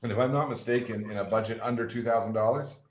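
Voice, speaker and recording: A man's voice: lowish voice, quick pace, reverberant. A man hosting a casual video interview, gushing with enthusiasm about his guests. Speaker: host.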